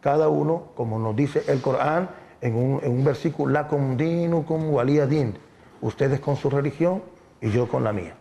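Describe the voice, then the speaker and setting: A man speaking as a community spokesman in an interview, explaining in a halting way and often repeating words. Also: mid-range voice, gravelly, mellow.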